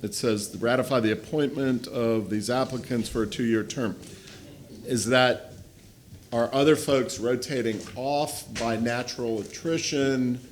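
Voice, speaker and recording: A man's voice: medium pitch, fairly even intonation, measured pace, slight background noise. A man on a city council, questioning staff in a plain-spoken, inquisitive way. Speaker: council member.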